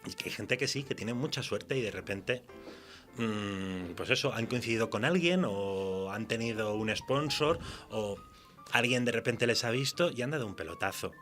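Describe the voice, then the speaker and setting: A man's voice: lowish voice, animated, moderate pace, a bit bright, faint music behind. A man with an accent from Spain chatting casually and informally as a guest writer in an interview.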